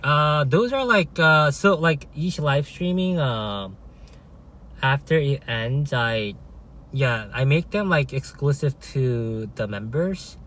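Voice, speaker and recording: Halting man, native Korean speaker. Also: medium pitch, lively intonation, fairly bright tone. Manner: halting, many fillers and restarts, frequent "right?". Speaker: live streamer. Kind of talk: casual live-stream chat, reading viewer comments.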